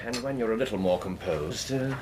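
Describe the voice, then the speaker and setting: An English actor playing a shrewd, courteous Victorian gentleman detective in a radio drama, with urbane, precise speech. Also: fairly low pitch, expressive, a little fast, background noise, lightly acted. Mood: courteous, soothing. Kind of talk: British radio drama acting.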